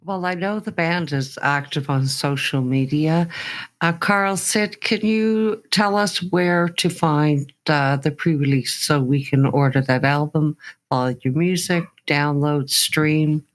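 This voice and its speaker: Low-pitched woman hosting an interview. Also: steady tone, slow pace. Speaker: show host, interviewer. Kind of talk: conversational interview.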